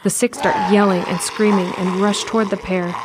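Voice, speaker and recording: A woman giving steady scripted narration of an audio drama in the present tense. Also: medium pitch, normal speed, very noisy.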